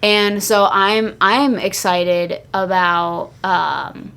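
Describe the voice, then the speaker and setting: A woman's voice: mid-range voice, fairly even intonation, extremely slow, pushed and bright, smooth. A woman co-hosting a casual wrestling discussion podcast, enthusiastic and full of opinions. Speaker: podcast co-host.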